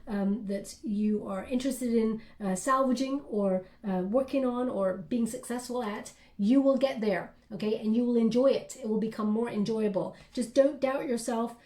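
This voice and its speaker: A woman's voice: fairly high pitch, moderate pace, few pauses, mellow, echoey room. A woman giving a tarot reading in an encouraging, reassuring way, with frequent fillers. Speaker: tarot reader.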